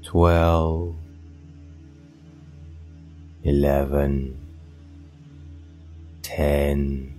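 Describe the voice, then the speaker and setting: A man narrating a scripted guided meditation in a soothing, hypnotic, measured way with long pauses. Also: rumbling low, steady tone. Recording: voice over meditation music. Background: soft meditation music.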